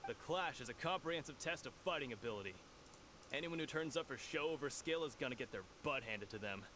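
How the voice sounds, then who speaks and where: A man's voice: mid-range voice, lively intonation, fast talker, background noise, natural voice. A man playing a brash, plain-spoken, self-assured fighter in an anime video game. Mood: brash, confident. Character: cocky, plain-spoken male tournament fighter.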